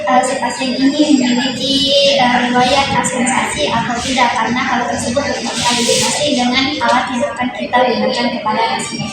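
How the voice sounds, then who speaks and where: A young woman with a high voice talks through a practical demonstration haltingly, one step at a time. She sounds distant from the microphone in a very echoey room, with loud music behind her. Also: fairly even intonation. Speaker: physiotherapy student demonstrating a treatment. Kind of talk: instructional demonstration.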